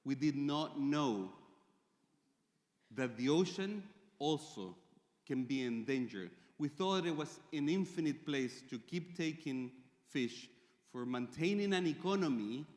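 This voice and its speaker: A man's voice: fairly high pitch, lively intonation, a little mellow. Chilean man speaking in a reverberant hall. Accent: Chilean Spanish. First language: Spanish. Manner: measured, with frequent pauses and fillers. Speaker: conference speaker. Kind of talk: conference presentation. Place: large conference hall.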